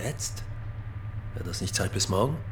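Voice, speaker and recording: An actor in a German radio drama playing a gruff middle-aged male farmer, terse, grudging and sarcastic. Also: sits low, expressive, unhurried, slight background noise.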